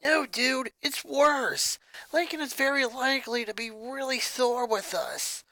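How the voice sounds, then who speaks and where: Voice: sits high, a little slow, no echo, pitched above the performer's own voice, exaggerated voice, rough recording. A reader doing a slangy teen rocker girl. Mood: excited. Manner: laid-back slang, shouting when upset. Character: excitable teenage rocker girl, says dude. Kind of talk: fanfic reading.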